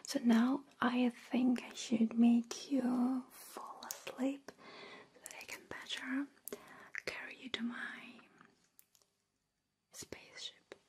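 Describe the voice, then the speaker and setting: A woman who is a non-native English speaker, playing a gentle, caring alien visitor in a breathy, soothing whispered ASMR roleplay. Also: on the high side, flat delivery. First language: not English. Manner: slow, soothing, reassuring, with soft giggles. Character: gentle, caring female alien visitor coaxing a human along. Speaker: ASMR creator.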